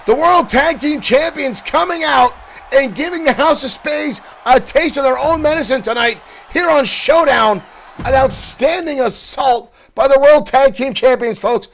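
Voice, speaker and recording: Gravelly-voiced man calling wrestling action. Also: very high voice, a little slow, slight background noise. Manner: shouts calls at big moments. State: losing his voice. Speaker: wrestling play-by-play commentator. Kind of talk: excited play-by-play commentary.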